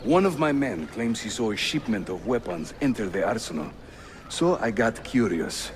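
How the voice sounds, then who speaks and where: A man's voice: fairly low pitch, sing-song, moderate pace, a little hiss or hum. An actor playing a principled male resistance leader with a wry, thoughtful manner in a dramatic game cutscene. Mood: curious, wry.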